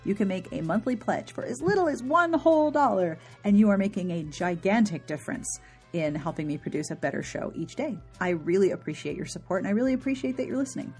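A woman's voice: medium pitch, lively intonation, fast, a little mellow. A woman hosting a podcast, chatting casually and wryly through an informal outro. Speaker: podcast host. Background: music.

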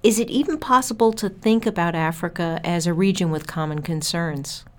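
A woman's voice: fairly low pitch, normal speed. A woman interviewing a guest, asking brief questions and prompting with short acknowledgments.

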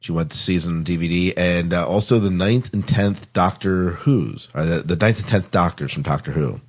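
A man hosting a podcast in a casual, conversational style, agreeable and quick to echo his affirmations. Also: low-pitched, husky. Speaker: podcast host. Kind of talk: casual chat.

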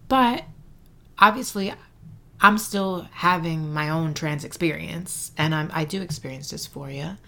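A low-pitched woman speaks slowly as an interview guest, with a gravelly edge and a bright, forceful tone. She pauses to search for words, often filling gaps with "um" and "like.". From Mexico City and San Antonio, Texas. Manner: thoughtful, searching for words, frequent um and like. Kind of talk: reflective interview conversation.

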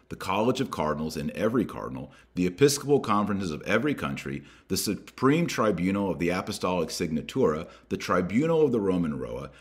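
Man, deep low voice, podcast host, emphatic deliberate reading, spoken commentary. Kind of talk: reading a document aloud with commentary.

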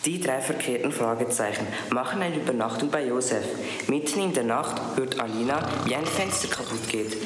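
A man's voice: mid-range voice, animated, fairly quick. A teenage boy narrating a scripted audio drama as a student. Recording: echoey reverberant room, noisy.

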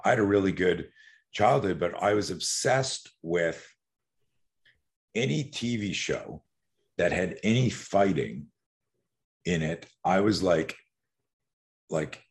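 A man's voice: sits low, expressive, slow, long pauses. A man in his sixties from Canada, a podcast guest telling rambling stories with many restarts. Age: 60s. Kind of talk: casual podcast interview.

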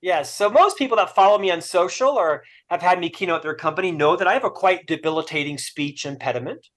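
A man's voice: high-pitched, expressive, fairly quick, a bit bright. A middle-aged man from Florida with a stutter, speaking as an interview guest and author with upbeat, self-deprecating humor. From Florida, USA. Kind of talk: interview conversation.

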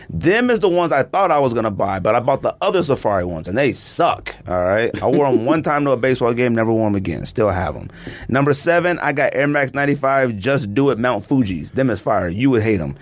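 Laid-back man counting down sneakers. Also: medium pitch. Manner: laid-back slang, animated when counting down. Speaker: podcast co-host. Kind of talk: casual chat about sneakers.

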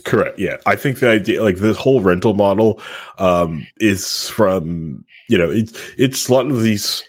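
Man, low husky voice, casual podcast co-host, halting, repetitive phrasing. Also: dry recording. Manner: halting, repeats words and phrases.

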